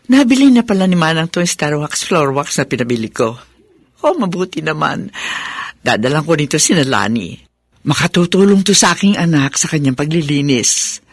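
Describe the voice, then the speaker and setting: A woman playing a doting, easily worried middle-aged mother and grandmother in a Filipino radio drama, with expressive, exclaiming delivery. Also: sits low, moderate pace, slightly rough, dry recording, exaggerated voice. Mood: musing. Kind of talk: Filipino radio melodrama acting.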